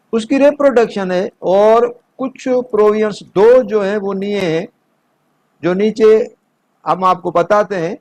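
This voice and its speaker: A man's voice: high-pitched, darkish tone, rough recording. A man with a Pakistani accent giving an instructional law lecture in a methodical, explanatory way. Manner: methodical, explanatory, switching between two languages. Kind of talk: instructional lecture mixing explanation with read-out notes.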